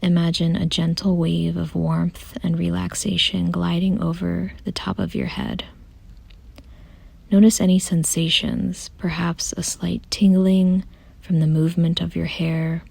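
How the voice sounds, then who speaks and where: A woman softly guiding a meditation in a gentle, calm, unhurried way, often close to a whisper. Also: lowish voice, no room sound. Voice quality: soft, breathy, often near a whisper. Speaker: meditation guide. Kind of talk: soothing guided meditation, scripted.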